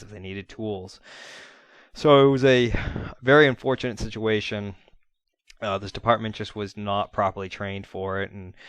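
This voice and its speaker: A man giving an informal spoken debrief in an earnest, plain-spoken, halting manner, like a trainer talking to colleagues. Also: on the low side, dry recording. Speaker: fire-rescue commentator and trainer.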